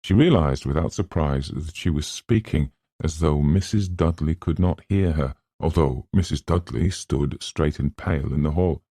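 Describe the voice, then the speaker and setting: Deep, mellow, husky male audiobook narrator. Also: moderate pace, few pauses, no echo, clean studio sound. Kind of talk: literary scripted narration.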